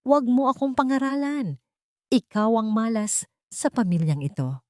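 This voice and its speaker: A spiteful, desperate drunken big sister from an audio drama, acted out by a female narrator. She is agitated and defensive, throwing blame in a raised pitch, recorded dry. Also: very animated, moderate pace, warm tone, no echo. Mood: spiteful, blaming. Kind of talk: audio drama acting in Tagalog storytelling.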